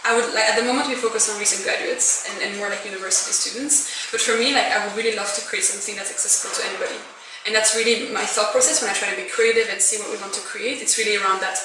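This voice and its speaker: A woman's voice: medium pitch, steady tone, rapid-fire, few pauses. A young woman from Belgium who grew up in France, an enterprise founder explaining her story earnestly in an informal interview. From born in Belgium, grew up in rural France. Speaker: social enterprise founder and managing director being interviewed. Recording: very echoey room, distant mic, background noise.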